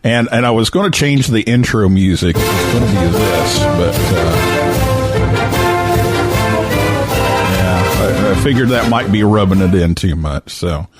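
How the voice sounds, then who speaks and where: A man's voice: low voice, sing-song, slow pace, long pauses, over loud music. A man hosting a show in a casual, joking, chatty style. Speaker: podcast host. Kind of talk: casual chat.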